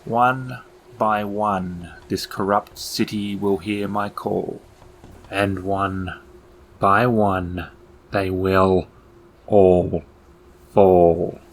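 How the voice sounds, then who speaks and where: A man voicing a theatrical, sinister keeper of the dead in a tabletop game, speaking slowly in formal, ominous phrases. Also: low-pitched, level tone, slight background noise, exaggerated voice, poor audio. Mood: menacing. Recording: music bed under the voice. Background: music.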